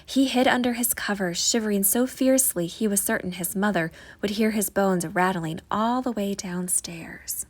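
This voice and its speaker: A woman with a soft-edged, breathy voice narrates a children's bedtime story. Her pitch sits in a medium range, and she draws phrases out dramatically for young listeners. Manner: dramatic, drawn-out storybook delivery. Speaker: storyteller reading a children's book.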